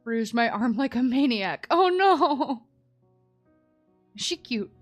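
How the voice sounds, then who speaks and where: A woman's voice: a little high, animated, takes it slowly, no echo. A woman live streaming a game, chatting casually and playfully with cutesy slang. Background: soft background music.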